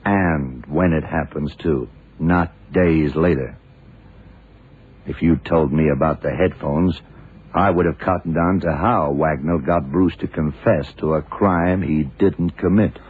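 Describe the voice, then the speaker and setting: An actor playing a blind detective in a 1970s radio drama, a gruff, commanding mentor who explains things deliberately with authority. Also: male voice, very deep voice, heavily acted. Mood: stern. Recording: tinny, narrowband old radio recording.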